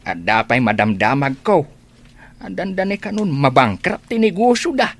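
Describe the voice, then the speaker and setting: An actor as a gossipy older uncle from a radio drama, with a husky voice and an Ilocano accent. He is plain-spoken and working-class, and his pitch moves about freely as he talks. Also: big pitch swings, moderate pace. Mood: gossipy, insistent.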